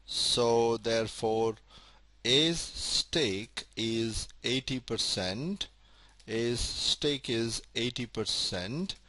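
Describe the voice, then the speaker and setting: A man giving a recorded accounting lecture, methodical and slow to stress key points, often repeating them. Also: medium pitch, fairly bright tone, no room sound.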